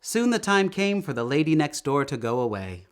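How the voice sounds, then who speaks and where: A man reading a children's story aloud in an expressive, deliberate storytelling manner. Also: on the high side, clean tone. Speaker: storybook reader.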